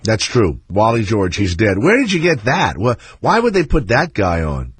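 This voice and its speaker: A man's voice: fairly low pitch, huge pitch swings, normal speed, noisy background. A middle-aged man hosting a call-in radio show, joking loosely and rambling with restarts. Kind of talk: casual call-in radio banter. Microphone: studio microphone.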